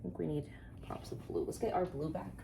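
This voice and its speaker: Low, gravelly-voiced chatty woman from Texas. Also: brisk, noisy background. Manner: chatty, thinks aloud, rambling asides. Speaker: wreath-making tutorial host. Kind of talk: casual crafting tutorial, talking while working.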